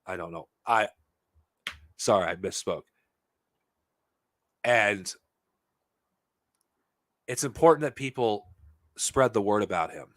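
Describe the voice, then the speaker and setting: A man's voice: fairly low pitch, expressive, slow pace, long pauses, dry recording. Heated, profane man, dry close sound. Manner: heated, profane, rambling with restarts. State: losing his voice. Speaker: podcast host. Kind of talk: unscripted monologue to viewers.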